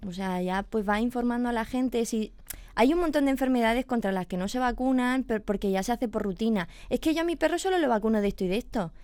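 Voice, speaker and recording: A woman's voice: a little high, fast talker, a little mellow, dry recording. A woman, a veterinarian explaining pet illnesses patiently on a radio chat, checking in with her listener as she goes. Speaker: veterinarian guest.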